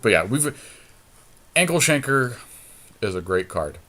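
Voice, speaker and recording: A man's voice: mid-range voice, sing-song, unhurried, sharp tone. A man talking casually and thinking aloud as he commentates on a card game draft in colloquial speech. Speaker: podcast host, card game player.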